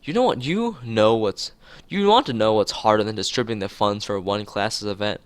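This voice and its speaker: A man's voice: fairly low pitch, moderate pace, clean tone, no echo, lightly acted. A man voicing a bossy, accusing schoolgirl from a visual novel in an emphatic, scolding manner. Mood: boastful. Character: bossy, accusing schoolgirl speaking for student council.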